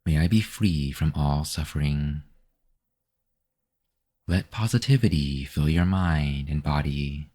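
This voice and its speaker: A man narrating a guided meditation as the guide, in a measured, instructional manner. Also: a bass voice, mellow.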